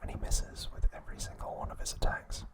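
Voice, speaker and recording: A man hosting ASMR, narrating a recap in a breathy, hushed whisper with short, halting phrases. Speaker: ASMR host.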